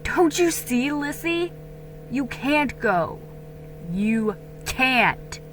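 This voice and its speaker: Woman acting a forceful, hot-headed young man. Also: sits high, expressive, very slow, a little hiss or hum, voice pushed up, exaggerated voice. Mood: urgent. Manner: forceful, insistent, accusing. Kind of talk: dramatic storybook reading.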